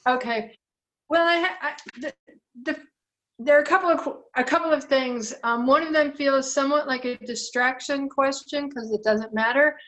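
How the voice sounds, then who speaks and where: A woman from Detroit asks a teacher a question over a video call, halting and hesitant, with many fillers. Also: sits high, fairly bright tone, rough recording. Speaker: caller asking a question. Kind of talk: casual question in an online meeting.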